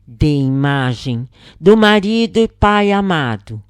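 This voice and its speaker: Low-voiced Brazilian woman, slow narrator. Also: very animated, clean tone, no echo, studio quality. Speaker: narrator reading a spiritist account. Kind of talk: scripted first-person audiobook narration.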